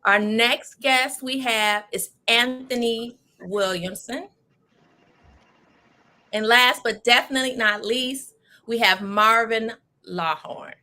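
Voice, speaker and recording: A woman's voice: a little high, slow pace, pushed and bright. An American woman hosting a panel show in an upbeat style, introducing guests and putting questions to them. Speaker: host of a self-care show.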